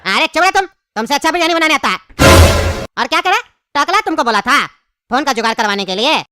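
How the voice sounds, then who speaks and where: A woman's voice: sits high, expressive, fast, background noise. A woman voicing a cocky, self-assured cartoon hustler with a teasing, boastful manner. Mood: teasing, haggling. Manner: bantering, boastful. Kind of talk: comedy cartoon voice acting.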